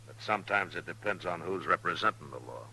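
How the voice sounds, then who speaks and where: A stern frontier marshal in a 1950s radio western. The voice is very deep and cuts through with great force. He speaks plainly and with firm authority. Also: quick pace, sharp tone, breathy edge, exaggerated voice, poor audio. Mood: stern warning.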